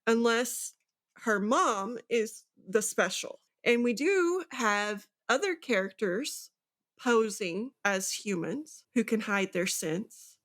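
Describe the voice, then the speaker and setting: A woman's voice: on the high side, animated, slow pace, a bit bright. Woman co-host casually talking books. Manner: speculative, thinking aloud with rhetorical check-ins. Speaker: podcast co-host. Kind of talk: casual book discussion.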